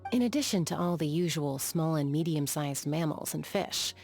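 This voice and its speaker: A woman playing a calm, battle-hardened young sky gunslinger in a video game, measured, thoughtful and matter-of-fact, with a dry edge. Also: sits low, faint music behind. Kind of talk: video game character voice lines.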